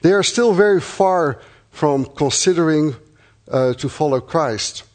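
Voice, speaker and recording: A man's voice: a little high, animated, a little mellow. Dutch-accented missionary man, earnest. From Netherlands. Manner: earnest and thankful, with pauses and fillers. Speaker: missionary church planter. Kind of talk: address to supporting congregation.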